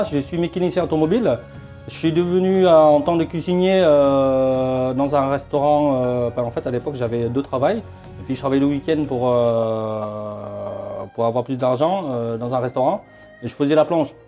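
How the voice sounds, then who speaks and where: A middle-aged man from Vietnam, a chef demonstrating dishes in his restaurant kitchen, talking haltingly with many fillers. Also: mid-range voice, warm tone. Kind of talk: cooking demonstration. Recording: faint music behind.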